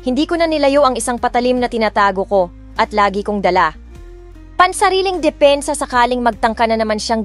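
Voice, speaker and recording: Filipino woman narrating over soft music. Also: on the high side. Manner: emotional, restrained storytelling in Tagalog and English. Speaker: narrator and voice of the heroine. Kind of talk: first-person audiobook narration with acted dialogue. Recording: background music under the voice.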